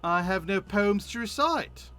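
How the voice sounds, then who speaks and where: A man's voice: sits high, animated, measured pace, pushed and bright, full character voice. A man voicing a gloomy, timid young student with an earnest, formal way of speaking, reading game dialogue aloud. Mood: hesitant, gloomy.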